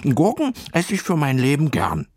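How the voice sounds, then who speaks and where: A grumpy little guinea pig from a children's audio story, played by a man. The voice is pitched up and mumbles through a full, munching mouth. It sounds stubborn and fond of its food. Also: wildly swooping pitch, moderate pace, darkish tone, slightly rough, dry recording, studio quality, full character voice.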